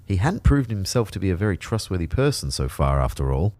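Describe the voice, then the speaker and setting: A man's voice: sits low, big pitch swings, brisk, a little mellow, dry recording. An actor playing an anxious everyman who narrates a sci-fi audio drama in a wry, understated way. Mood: wry, uneasy. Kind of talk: scripted first-person audio drama narration.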